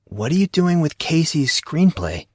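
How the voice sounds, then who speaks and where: A man's voice: fairly high pitch, sing-song, a little slow, a little mellow, dry recording, clean studio sound, pitched above the performer's own voice. A man voicing an awkward, apologetic fourteen-year-old schoolboy who is easily flustered, in an audio story. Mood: bewildered. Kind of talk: audio drama character dialogue.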